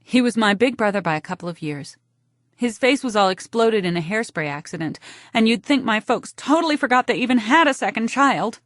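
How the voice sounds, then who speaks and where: A woman's voice: on the high side, expressive, a little fast, fairly bright tone, dry recording, studio quality, pitched above the performer's own voice. A woman voicing a bitter, attention-hungry younger sister who complains in a stagy, overwrought way, playing to a crowd. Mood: wounded, theatrical.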